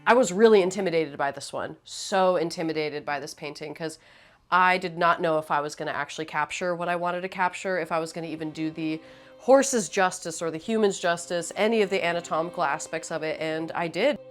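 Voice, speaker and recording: A woman from Lebanon, an artist, speaking in a documentary interview, reflective and halting, often restarting her sentences. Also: fairly low pitch, faint music behind.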